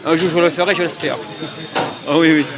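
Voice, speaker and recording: A man's voice: on the high side, steady tone, fairly quick, low-quality recording. A man from the French Antilles, a customer chatting casually in a vox pop. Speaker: customer interviewed. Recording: busy crowd behind.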